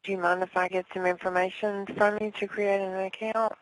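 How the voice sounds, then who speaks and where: A woman's voice: mid-range voice, level tone, fairly quick, dry recording, poor audio. Polite woman taking calls, dry sound. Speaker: customer service call agent. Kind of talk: polite scripted customer service.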